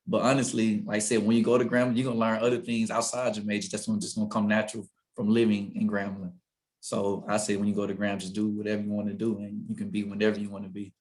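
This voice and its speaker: A young man in his twenties talks on an alumni panel, relaxed and friendly. His low voice moves quickly and stays flat in pitch. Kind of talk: casual panel talk.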